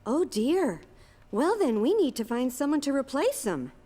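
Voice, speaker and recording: High, lilting cartoon schoolteacher. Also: female voice, sing-song, measured pace, warm tone, exaggerated voice. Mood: encouraging. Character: encouraging female schoolteacher directing a children's play. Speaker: school play director. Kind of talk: scripted children's cartoon acting. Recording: long hall reverb.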